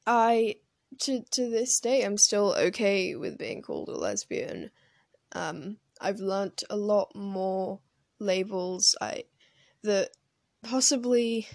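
A woman who grew up in France talking casually into her phone for a vlog, hesitant and rambling with plenty of pauses and fillers. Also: medium pitch, no room sound, studio quality. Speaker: vlogger. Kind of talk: casual personal talk to camera.

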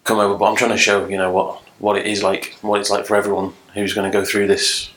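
A man's voice: fairly low pitch, little pitch movement, quick pace, a bit bright, rough recording. A man speaking as a guest on a casual podcast, earnest and plain-spoken with frequent ums.

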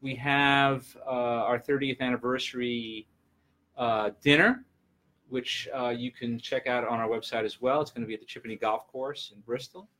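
Halting man in his sixties, chatting informally. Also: mid-range voice, fairly even intonation, sharp tone. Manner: halting, repeats words and trails off. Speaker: guest, land trust representative and gym client. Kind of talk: casual unscripted interview chat.

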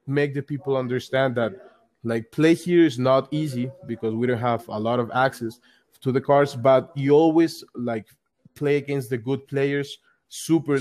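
Young guy on a call, english, keeps saying like and restarting, mid pitch. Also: darkish tone. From Colombia. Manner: loose, repetitive phrasing with many likes and restarts. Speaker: guest, card game store player. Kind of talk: casual interview over call.

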